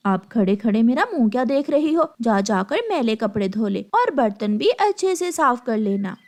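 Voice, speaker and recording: A woman's voice: a little high, animated, moderate pace, a little mellow, lightly acted. A woman voicing a harsh, bossy stepmother bird in a cartoon, scolding and sarcastic.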